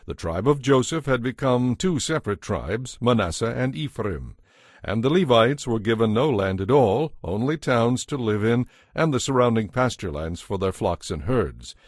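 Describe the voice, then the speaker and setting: A man reading scripted scripture narration as narrator, in a measured, formal manner. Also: medium pitch, lively intonation, dry recording, clean studio sound. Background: background music.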